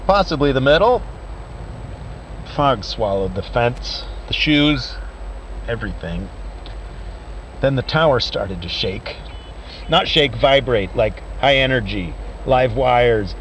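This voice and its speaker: An actor playing a weary, resigned man recording a last message in an audio drama, halting and wry. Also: medium pitch, animated, rough recording. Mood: weary, uneasy. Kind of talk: found-footage audio drama monologue. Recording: faint background noise.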